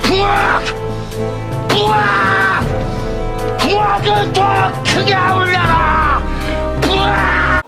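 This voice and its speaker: A man voicing a grand old-time male lord who shouts orders in a shrill voice. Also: extremely slow, vocal fry, long pauses, loud music behind the voice, full character voice. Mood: triumphant.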